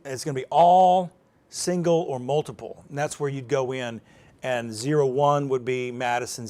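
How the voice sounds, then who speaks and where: A man's voice: mid-range voice, darkish tone. A man presenting an informal, unscripted step-by-step tutorial, explaining plainly in a conversational way as he demonstrates. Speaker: presenter of a live how-to demonstration.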